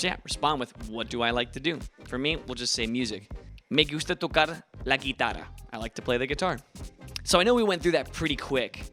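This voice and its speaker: A man's voice: mid-range voice, bright, forceful tone. A young man from Ohio with an Ohio accent, teaching a casual, encouraging video lesson in a chatty, upbeat way. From Ohio, USA. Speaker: language teacher, channel host.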